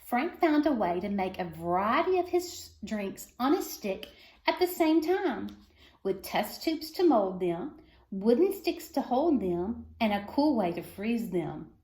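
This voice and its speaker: A woman's voice: medium pitch, sing-song, a little slow, darkish tone, echoey room. A woman reading a children's story aloud for schoolchildren, phrasing expressively and stressing key words. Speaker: reader of a children's book.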